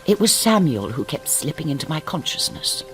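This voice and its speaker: A man's voice: a little high, sing-song, normal speed, fairly bright tone, faint music behind. A man narrating a radio drama in a wry, dryly humorous manner. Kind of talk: scripted narration.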